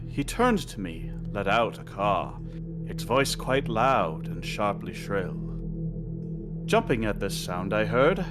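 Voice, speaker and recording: Slow-paced man reciting spooky verse over music. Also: fairly low pitch. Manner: measured, rhythmic storytelling. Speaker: poet reading own work. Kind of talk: dramatic spoken-word horror poem recitation. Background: music track.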